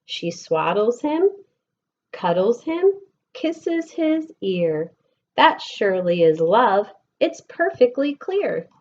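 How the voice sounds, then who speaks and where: A woman with an American accent reads a picture book aloud to children with expressive, deliberate storybook phrasing, like a schoolteacher. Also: a little high, dull audio. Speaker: elementary school teacher reading aloud.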